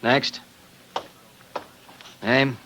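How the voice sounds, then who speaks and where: Curt, sharp-voiced male clerk. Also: mid-range voice, little pitch movement, drawn-out words, slight background noise. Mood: bored, curt. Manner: curt, bored. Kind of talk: 1950s radio drama acting.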